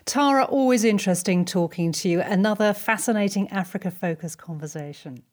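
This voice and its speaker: A woman's voice: medium pitch, a little fast. A woman hosting a podcast, speaking in a conversational presenter's style. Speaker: podcast host. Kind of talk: conversational interviewing and scripted sign-off.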